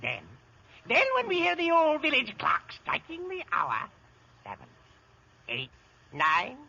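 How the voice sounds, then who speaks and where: Crazed hermit, male: very high creaky voice, wildly swooping, gloating menace, vintage muffled radio sound. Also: huge pitch swings, unhurried, bright, forceful tone, heavily acted. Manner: wild, gloating. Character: deranged, menacing hermit hoarding his money. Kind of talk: 1930s-40s radio comedy-thriller acting. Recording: muffled old radio recording.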